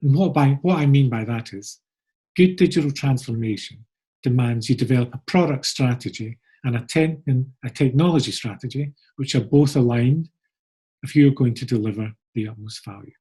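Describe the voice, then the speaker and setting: Scottish man, middle-aged, mellow tone, slightly husky, upbeat pre-recorded presentation. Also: mid-range voice, moderate pace. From Scotland. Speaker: agency co-founder presenting. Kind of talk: pre-recorded conference talk.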